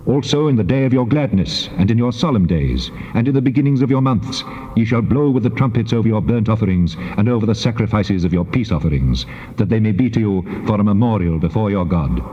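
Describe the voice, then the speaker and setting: An actor playing a commanding, solemn God in a dramatized scripture recording, declaiming grandly. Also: male voice, lowish voice, animated, fairly quick, warm tone, close to an everyday voice. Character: God, a commanding solemn male deity giving law. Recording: long cavernous reverb, dull tape sound.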